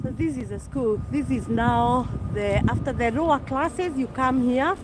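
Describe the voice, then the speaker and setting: Slow-talking Kenyan-born woman on a winter walk. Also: high-pitched, heavy background noise. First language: Kikuyu. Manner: unhurried, chatty, frequent restarts. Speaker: livestream host. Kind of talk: casual walking livestream. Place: outdoors on a winter street.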